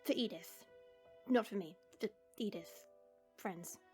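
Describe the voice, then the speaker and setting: A woman playing a proud, guarded ally in a fantasy audio drama, speaking in a halting, aloof way. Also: mid-range voice, mellow, soft background music, natural voice. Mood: grudging concern. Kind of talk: fantasy audio roleplay acting.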